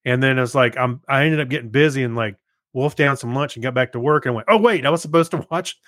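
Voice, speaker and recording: A man co-hosting a podcast, chatting casually and haltingly as he thinks aloud. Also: mid-range voice, a bit bright, no room sound, clean studio sound. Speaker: podcast co-host. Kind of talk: casual chat.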